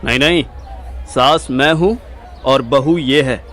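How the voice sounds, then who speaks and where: A man's voice: mid-range voice, slow pace, a bit bright, faint music behind, a faint crowd. An actor playing a cheeky, carefree young man in an audio drama, teasing and slangy. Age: young adult.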